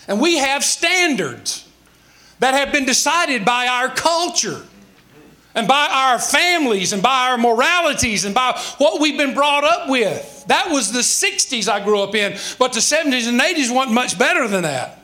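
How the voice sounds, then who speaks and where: A man's voice: very high-pitched, sharp tone. A man in his sixties with a Southern American accent, preaching a sermon emphatically, with rhetorical questions and folksy asides. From Southern United States. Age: 60s. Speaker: pastor.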